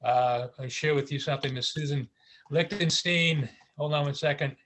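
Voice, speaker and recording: A man hosting an interview show on a video call, friendly and a bit halting with fillers. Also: on the high side, a bit bright, a little hiss or hum. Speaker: interview host.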